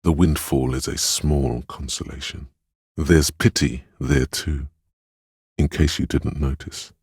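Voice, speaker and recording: An actor voicing a perceptive, compassionate, brooding inner voice in a video game, with measured, hushed, deliberate narration and a gravelly, husky tone. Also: male voice, a bass voice, steady tone, no echo, clean studio sound, exaggerated voice. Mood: somber, compassionate. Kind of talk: scripted second-person game narration.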